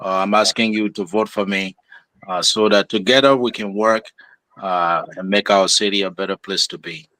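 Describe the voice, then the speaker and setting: Low-voiced Liberian pastor, dry sound. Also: male voice, fairly even intonation, moderate pace, pushed and bright, no echo, rough recording. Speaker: pastor and political candidate, show guest. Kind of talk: earnest spoken address of thanks and appeal.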